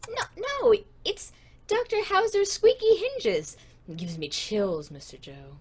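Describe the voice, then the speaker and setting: A woman's voice: very high-pitched, slow pace, slight background noise. A woman voicing an earnest, polite and naive little boy who works as a sound artist in an audio comedy drama. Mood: anxious, protesting.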